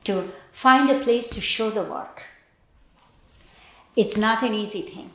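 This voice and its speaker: An older woman from Bangladesh with a Bangladeshi accent, reflecting on her life as a painter in a thoughtful, halting way with pauses. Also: fairly high pitch. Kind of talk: reflective personal interview. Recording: roomy, echoey indoor sound.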